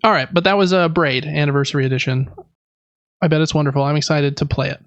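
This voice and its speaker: A man's voice: fairly high pitch, fairly even intonation, quick pace, a bit bright, dry recording, muffled recording. A man co-hosting a podcast, chatting casually about video games. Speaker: podcast co-host.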